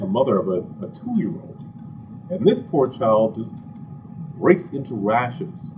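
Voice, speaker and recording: A man from Ghana giving an animated, conversational health lecture to a live audience, full of asides and rhetorical questions. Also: lowish voice. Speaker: physician giving a health talk. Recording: distant, dull, slightly noisy room recording.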